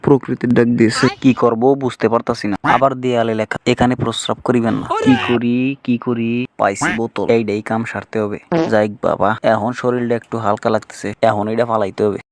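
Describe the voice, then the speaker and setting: A man's voice: medium pitch, little pitch movement, a little fast, close to an everyday voice. A man with a Bangladeshi accent voicing a hapless cartoon missile that pleads in colloquial speech. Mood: flustered. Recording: very noisy track with clicks.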